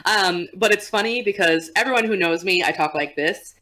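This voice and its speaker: A young woman with a low, relaxed voice and an audible vocal rumble, chatting casually and laughing often as a podcast guest. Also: normal speed.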